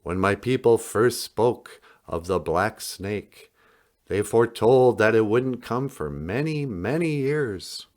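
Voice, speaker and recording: A man reading a picture book aloud in a solemn, dramatic storytelling style with deliberate pauses. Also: fairly low pitch, lively intonation. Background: music.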